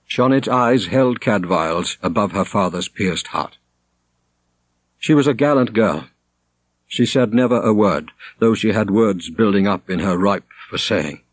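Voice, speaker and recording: A man reading a literary story aloud as an audiobook narrator, in a theatrical, expressive manner. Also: sits low, no echo. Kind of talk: dramatic literary narration with character voices.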